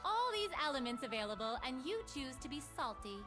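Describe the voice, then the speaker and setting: A woman's voice: sits high, sing-song, fairly quick, loud music behind the voice. An actress voicing a cheerful, sarcastic young woman mage as playful, witty lines in a video game. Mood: sassy, scolding.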